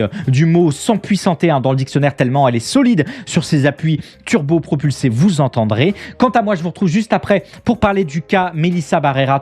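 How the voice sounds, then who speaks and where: Higher-voiced man hosting a show. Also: darkish tone. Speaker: host of a pop culture morning show. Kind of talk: radio-style presenting to a live stream audience.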